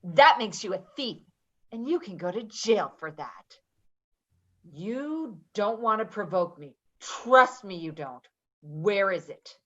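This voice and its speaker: A woman's voice: medium pitch, big pitch swings, slow pace, fairly bright tone, lo-fi sound, full character voice. A woman acting in a play reading as a volatile, foul-mouthed woman who makes confrontational, threatening demands. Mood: furious, threatening.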